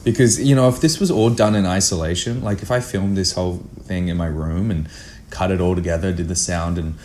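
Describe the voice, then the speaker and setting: Deep-voiced man chatting casually. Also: fairly even intonation, fairly quick, a little mellow, a little hiss or hum. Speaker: guest filmmaker. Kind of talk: casual conversational interview answers.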